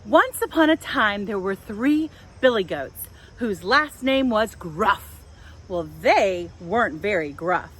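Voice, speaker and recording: A woman hosting a children's storytime outdoors, telling things in simple, deliberate phrases for small children. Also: mid-range voice, lively intonation, fairly bright tone, breathy edge. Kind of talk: storytelling and guided talk for young children. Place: outdoors in a public park. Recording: outdoor park with faint background noise.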